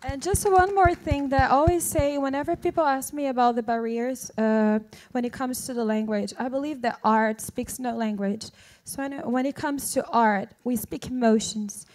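A woman's voice: high voice, steady tone, normal speed, darkish tone. A young woman from Brazil whose first language is Portuguese, speaking English with a Portuguese accent at a film launch press event, earnest and often restarting as she searches for words, into a handheld mic. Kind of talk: press conference answers. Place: indoor launch event stage. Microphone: handheld microphone.

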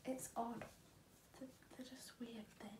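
A woman's voice: a little high, little pitch movement, takes it slowly. A young woman chatting casually as a vlog co-host, with brief, dry replies. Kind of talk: casual chat. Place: small home bedroom, indoors. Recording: roomy, distant mic, audible noise.

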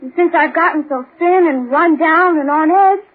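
A woman's voice: very high-pitched, normal speed. An actress playing a tired, mopey young woman in a 1940s radio advertisement, plaintive and complaining. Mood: whiny, weary. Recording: muffled, lo-fi old radio recording.

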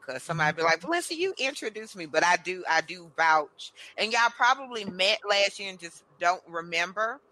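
A woman's voice: fairly low pitch, expressive, fairly quick, few pauses, sharp tone, dry recording, poor audio. A woman hosting a casual radio talk show, playful and chatty, reading out listener comments as she goes. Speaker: host.

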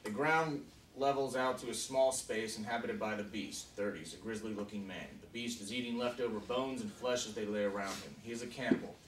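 A man's voice: lowish voice, brisk. Man directing a table read in an echoey room. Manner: informal, peppered with "you know" and "like". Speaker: film director. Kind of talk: reading stage directions and explaining shoots at a table read. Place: small indoor conference room. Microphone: camera mic across the table. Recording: echoey meeting room, distant mic, faint noise.

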